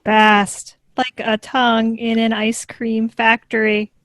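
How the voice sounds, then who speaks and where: A woman's voice: fairly high pitch, flat delivery, slow, a bit bright, no room sound. A woman playing an obedient, emotionless child android in a sitcom table read, with a stilted, literal, mechanical delivery. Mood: flat, obedient.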